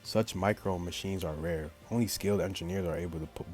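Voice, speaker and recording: A man with a very low voice reads a visual novel aloud as a gaming let's play. His commentary is slangy and joking, and game music plays behind him. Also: brisk, darkish tone. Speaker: gaming YouTuber.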